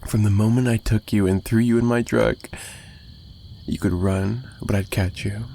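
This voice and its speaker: A man's voice: on the low side, fairly even intonation, moderate pace, gravelly, mellow. A man playing an obsessive, menacing mafia kidnapper in an ASMR roleplay, possessive and teasing, with a taunting delivery that swings between murmurs and giggles. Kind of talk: dramatic ASMR roleplay monologue.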